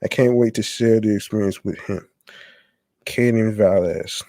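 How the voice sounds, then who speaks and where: A man hosting a podcast, reading a text aloud haltingly, stumbling and restarting on words. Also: lowish voice, dark tone, no echo. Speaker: podcast host.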